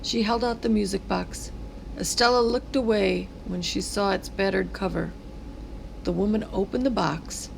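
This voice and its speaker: Very creaky-voiced woman reading a storybook. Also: mid-range voice, fairly even intonation, moderate pace, slight background noise, low-quality recording. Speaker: storyteller reading a children's book. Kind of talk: expressive read-aloud narration.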